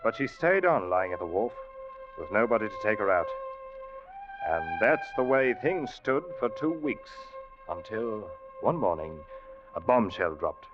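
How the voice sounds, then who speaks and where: Uneasy seafaring officer, old radio drama, intense, widely varying pitch, muffled sound under music. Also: male voice, sing-song, a little slow. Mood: brooding narration. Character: earnest, uneasy male ship's officer telling his tale. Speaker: actor and first-person narrator. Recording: muffled vintage radio recording, loud music.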